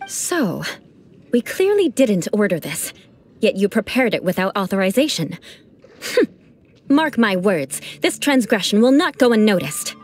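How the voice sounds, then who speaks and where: An actress playing a proud, vengeful aristocratic young knight in a video game, speaking in a formal, haughty way. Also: female voice, medium pitch, big pitch swings, a little slow. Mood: haughty grudge. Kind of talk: video game character dialogue.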